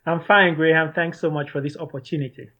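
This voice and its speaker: A man in his thirties from Cameroon, a startup founder, answering interview questions in a measured, earnest way. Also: on the high side, steady tone, sharp tone. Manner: measured, earnest, some restarts.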